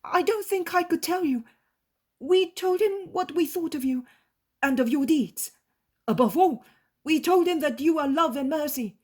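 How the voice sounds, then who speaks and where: A woman's voice: high-pitched, moderate pace, darkish tone, pitched above the performer's own voice, close to an everyday voice. A woman voicing an earnest young male disciple who speaks with reverence.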